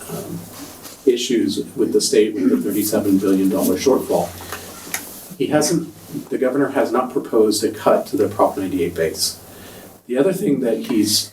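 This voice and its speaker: A soft-spoken man giving a budget presentation at a school board meeting, hesitant with many ums. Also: fairly low pitch. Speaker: school district finance presenter. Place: large meeting room. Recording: echoey room, distant mic, faint noise.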